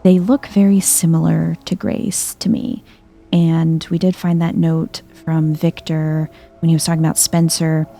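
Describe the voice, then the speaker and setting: A soft-spoken woman giving calm, casual let's play commentary in an ASMR vibe, musing aloud as she plays. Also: deep voice, unhurried, creaky, faint music behind. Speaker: video game streamer, let's player.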